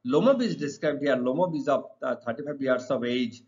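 A middle-aged man with an Indian accent, teaching English to students in deliberate, emphatic, repetitive phrases. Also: medium pitch, darkish tone. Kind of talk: exam lesson explained to students. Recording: dull, lo-fi sound.

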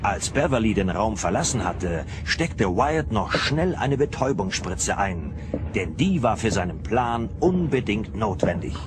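A man's voice: lowish voice, big pitch swings, unhurried, noisy background. A man narrating a science fiction radio play from a script. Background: loud dramatic music.

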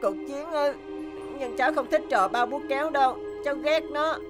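A woman voicing an earnest, easily indignant schoolboy in a cartoon, expressive and plaintive. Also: high-pitched, moderate pace, natural voice. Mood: puzzled, uneasy. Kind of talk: animated cartoon dialogue. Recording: background music under dialogue.

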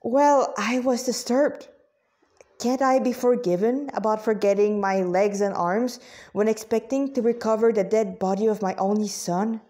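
A woman's voice: mid-range voice, steady tone, normal speed, warm tone, lightly acted. A woman voicing a devout, wise warrior mother with an earnest, instructive way of talking in a read-aloud story. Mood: annoyed.

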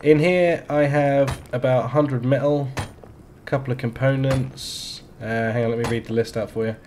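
A relaxed man with a London accent chats and jokes over his headset, his pitch and timbre sitting right in the middle range. Words drag out at times, with a faint hiss of noise in the background. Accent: London English. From England. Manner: laid-back, joking, drawn-out words. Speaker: streamer. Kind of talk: casual in-game voice chat. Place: small home streaming room.

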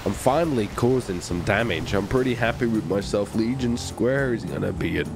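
A man giving excited gameplay commentary, taunting playfully, exclaiming and swearing. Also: on the low side, moderate pace, vocal fry. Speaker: gaming YouTuber playing online roleplay. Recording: music behind the voice. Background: music and game vehicle sounds.

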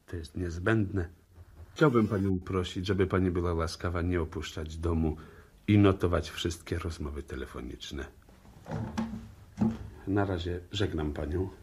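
An actor playing a composed, methodical police inspector in a radio drama, speaking formally and courteously with probing intent. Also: male voice, low voice, steady tone, measured pace, pauses often, a little mellow, a little hiss or hum. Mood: calm, firm. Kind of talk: 1960s Polish radio drama acting.

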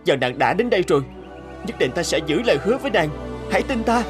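A man's voice: sits high, sing-song, quick pace. An actor playing an earnest young king in a fairy-tale cartoon, declamatory, commanding and tender. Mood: remorseful, tender. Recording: music under the voice.

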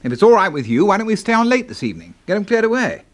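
A man's voice: high-pitched, very animated, rapid-fire. A man playing a jovial, chummy English doctor in a 1970s radio drama, with a British accent and a hearty, clipped manner. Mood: breezy. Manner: hearty, chuckling, clipped old-chap talk.

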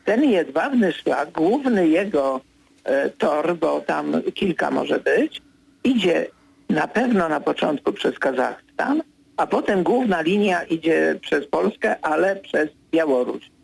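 An older man analyses politics as a guest in a radio studio. His voice sits a little high for a man, with a raspy, gravelly grain. He is emphatic and keeps driving his main points home. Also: lively intonation, takes it slowly, low-quality recording.

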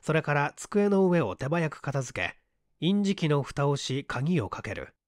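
A man reading a mystery story as scripted literary narration, in a measured storytelling manner. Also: fairly high pitch, very animated, no echo, studio quality. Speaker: audiobook reader, stage actor.

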